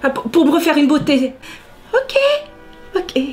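A woman's voice: high voice, measured pace, a little mellow, faint music behind. A woman playing a coy suspect stalling her interrogation, filmed in a dim office. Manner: coquettish, stalling. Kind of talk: stage actor in filmed interrogation scene.

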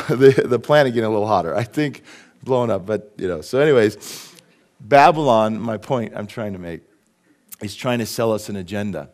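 Middle-aged pastor with a cold. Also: male voice, fairly low pitch, a little mellow. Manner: conversational, asks the congregation questions. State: has a cold. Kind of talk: sermon with jokes. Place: church stage with a lectern.

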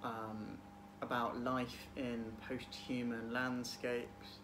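A man's voice: medium pitch, completely flat delivery, drawn-out words. A man in his twenties chatting casually about books in a hesitant, thoughtful way, with trailing phrases. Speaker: book vlog guest, PhD student. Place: small room at home, indoors. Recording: clearly noisy home room recording.

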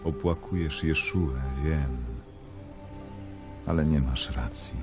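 A man's voice: a bass voice, slow. An actor playing a mysterious, solemn, forgiving risen holy man in a radio drama. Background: music.

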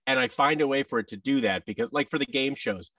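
A man's voice: mid-range voice, fast talker, dry recording, far from the mic. A man in his thirties chatting casually as an interview guest, animatedly acting out exchanges as he talks. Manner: animated, acts out quoted exchanges. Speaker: podcast guest, audio drama creator. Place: indoor room, video call. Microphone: boom-arm microphone with headset.

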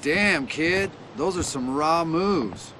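A man's voice: fairly high pitch, slow, noisy background. A man playing a laid-back, nostalgic veteran skateboarder in a video game, casual and slangy. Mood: amused, nostalgic. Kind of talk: video game cutscene acting.

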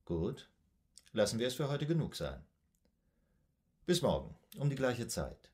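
An actor playing a composed, probing male psychoanalyst in a radio drama, formal, measured and clinical in manner. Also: mid-range voice, big pitch swings, darkish tone. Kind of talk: German radio drama acting.

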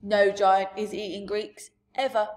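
A woman reading a storybook aloud, voicing a huge, jolly male giant who booms and shouts in mangled grammar. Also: level tone, unhurried, lightly acted. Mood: booming.

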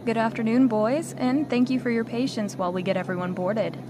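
A woman's voice: fairly high pitch, steady tone, fairly quick, natural voice. A woman playing a friendly, solicitous flight attendant in an audio drama, speaking in polite, reassuring patter. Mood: friendly, attentive. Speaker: voice actor in an audio roleplay. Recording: clear cabin noise behind the voice. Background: airplane cabin ambience.

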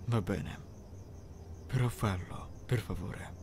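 Man playing a low, deadpan young anime hero. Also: slow pace, vocal fry, slight background noise. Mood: earnest. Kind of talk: anime fan dub, ASMR-style audio drama.

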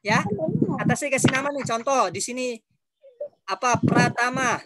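A man's voice: very high voice, moderate pace, noisy background. A male teacher explaining a lesson to his class on a video call, patiently repeating instructions.

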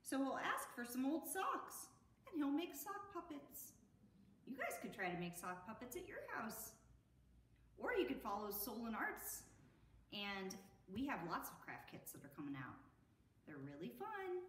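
A middle-aged American woman reading a picture book aloud to children in an expressive, sing-song way. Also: a little high, a little slow, slight background noise. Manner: sing-song, expressive, addressing young listeners. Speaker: children's storytime host. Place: indoor room at an arts center. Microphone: camera a few metres away. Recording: roomy echo, distant camera mic.

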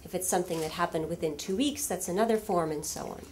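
A woman whose first language is Russian gives a lively academic lecture from a lectern in a large hall, with frequent fillers and rhetorical questions to the audience. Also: on the low side, quick pace.

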